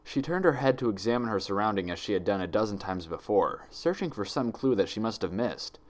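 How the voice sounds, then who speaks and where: A man narrating a written story in a deliberate, dramatic storytelling manner. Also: on the low side, lively intonation. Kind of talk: scripted narration, dramatic fan fiction reading.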